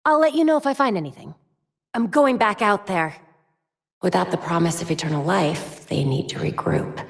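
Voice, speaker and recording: A woman with an American accent playing a young, brainy, determined vigilante superhero in a video game, crisp, earnest and dryly quippy. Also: lowish voice, normal speed, no room sound, clean studio sound. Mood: focused, businesslike. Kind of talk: video game voice acting, short dialogue lines.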